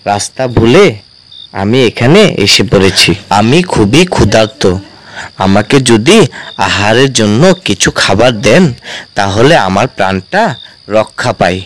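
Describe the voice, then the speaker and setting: A man's voice: lowish voice, expressive, unhurried. A man playing a humble, polite Brahmin pundit in a fairy-tale cartoon. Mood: humble pleading.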